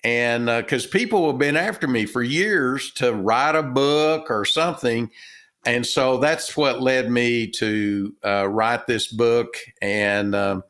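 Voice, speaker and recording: A middle-aged man from Texas with a Texan accent, a guest telling his story in a halting way with many fillers and restarts. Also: medium pitch, fairly bright tone. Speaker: guest, retired business owner and author. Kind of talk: casual interview talk.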